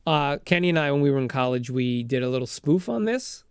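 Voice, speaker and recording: A man's voice: medium pitch, animated, a little fast, clear, resonant tone, no echo, clean studio sound. An American man talking casually and enthusiastically as a chatty podcast co-host. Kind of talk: casual chat.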